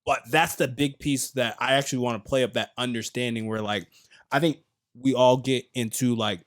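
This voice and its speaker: American male podcast host, mid-pitched voice, candid and joking, swears freely in casual chat. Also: fairly even intonation, moderate pace. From United States.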